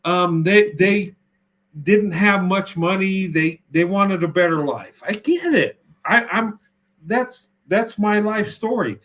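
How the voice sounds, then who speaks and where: Emphatic high-voiced man, stumbling restarts. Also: expressive, takes it slowly, a bit bright. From United States. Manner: emphatic, many stutters and restarts. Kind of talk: casual political discussion.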